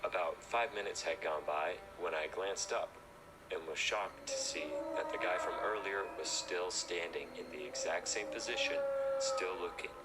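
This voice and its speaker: A man's voice: deep voice, sing-song, normal speed, loud music behind the voice. A man reading a scripted first-person horror story as narrator. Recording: noisy recording.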